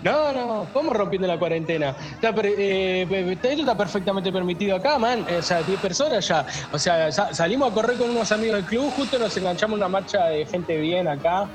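A man playing an excitable jogger with a Buenos Aires accent, stammering and slangy as he gives an eyewitness account in a radio drama. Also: high-pitched, brisk, hardly any pauses. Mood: defensive, chatty. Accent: Buenos Aires Argentine. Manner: stammering, slangy, breathless exclamations. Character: excitable young male jogger giving a panicked eyewitness account.

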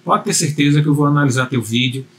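A man's voice: a little high, fast talker, a little hiss or hum. A man teaching a communication video lesson, speaking earnestly and directly to the viewer, often repeating himself for emphasis. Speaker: communication coach.

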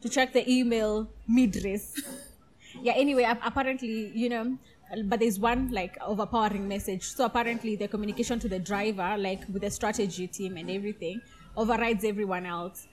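A woman co-hosting a casual podcast chat, talking in a giggly, animated way. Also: mid-range voice, fairly quick, poor audio. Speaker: podcast co-host.

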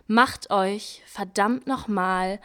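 A woman's voice: mid-range voice, drawn-out words, very noisy. A woman in a radio play chanting defiant, insistent spoken-word lines. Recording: spoken over loud music.

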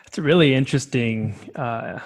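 A man hosting an interview podcast, relaxed and affirming as he asks questions. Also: mid-range voice, normal speed. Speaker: podcast host. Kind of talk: casual interview chat.

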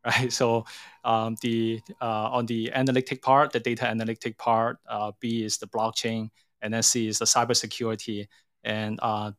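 A middle-aged man with a Hong Kong accent talks as an interview guest. His pitch is average for a man. He explains things conversationally, pausing and rephrasing as he goes. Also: a bit bright. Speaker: interview guest, tech company CEO.

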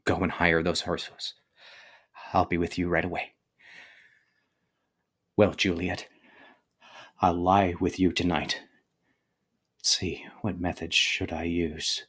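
Man acting a low-voiced brooding young tragic lover. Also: flat delivery, a bit bright, breathy edge, dry recording, clean studio sound, full character voice. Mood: grim resolve. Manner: theatrical, deliberate declaiming. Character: anguished young nobleman lover, brooding and resolute. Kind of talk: dramatic reading of a modernised stage tragedy.

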